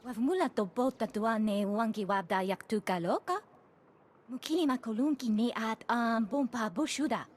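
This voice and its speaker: A woman's voice: fairly high pitch, moderate pace, close to an everyday voice. A woman playing a self-interested alien agent in a video game, with a businesslike manner. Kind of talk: scripted video game dialogue.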